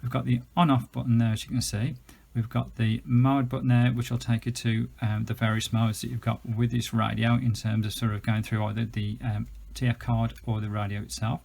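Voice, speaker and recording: A man's voice: mid-range voice, level tone, a little fast, vocal fry, darkish tone. A British man giving a chatty, enthusiastic, unscripted gadget review, explaining things as he goes. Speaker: product reviewer.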